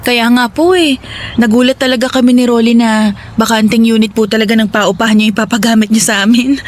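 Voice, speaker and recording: A woman's voice: a little high, fairly even intonation, fairly quick, lightly acted. An actress playing a polite, humble young wife and mother in a Filipino radio drama, speaking earnestly and deferentially. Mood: grateful. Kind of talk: Tagalog radio drama acting.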